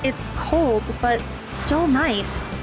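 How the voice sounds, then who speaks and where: A woman playing a hesitant, polite and agreeable high-school girl in an audio drama. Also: drawn-out words, music in the background, poor audio. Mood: tentative.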